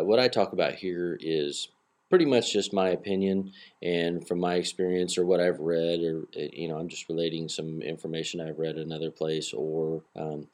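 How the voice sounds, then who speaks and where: A man's voice: sits low, darkish tone. A man hosting a solo hobby podcast in a casual, rambling way, with many fillers and restarts, a little nasal from a cold, recorded on a laptop's built-in microphone. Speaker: podcast host.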